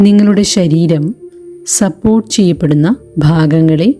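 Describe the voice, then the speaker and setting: A woman leading a guided meditation in a gentle, calm, deliberate way, leaving long pauses between short phrases. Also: fairly low pitch, faint music behind. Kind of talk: slow guided meditation narration.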